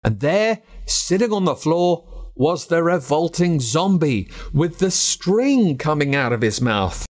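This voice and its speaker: An Australian man narrating a first-person spooky story with dramatic, expressive delivery. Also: fairly high pitch, takes it slowly. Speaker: audiobook narrator.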